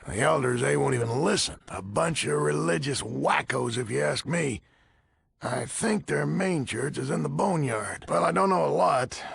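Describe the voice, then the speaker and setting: An actor playing a gruff, blunt, folksy old military leader in a video game, with a gravelly, husky voice. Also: male voice, fairly high pitch, animated, normal speed, fairly bright tone, no room sound, exaggerated voice. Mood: gruff, matter-of-fact. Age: older. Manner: blunt, folksy, swearing, easily exasperated. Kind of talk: video game character dialogue.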